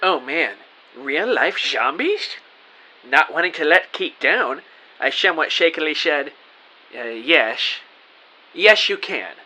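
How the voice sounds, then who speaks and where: A man's voice: a little high, animated, slow, long pauses, sharp tone, full character voice, poor audio. A man playing an earnest, nervous rookie detective, narrating an audio drama in the first person. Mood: uneasy.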